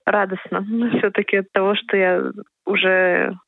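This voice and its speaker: A woman of twenty, an athlete answering interview questions in a hesitant, halting way over a phone call. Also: mid-range voice, little pitch movement, gravelly, no room sound. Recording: narrow telephone line, dry.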